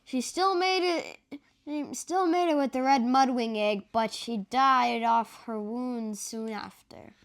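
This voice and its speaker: A grim, insistent sea dragon played by a young boy pleads his case in a bedtime storybook. The voice is high and youthful, earnest and determined. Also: slow pace, vocal fry, fairly bright tone, clean tone, exaggerated voice. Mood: grave. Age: child. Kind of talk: bedtime storybook read-aloud.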